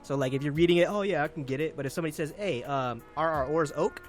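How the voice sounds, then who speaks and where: A man's voice: fairly high pitch, fast talker, soft background music. A man hosting a casual group podcast, playful and laughing often as he eggs the others on. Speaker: podcast host.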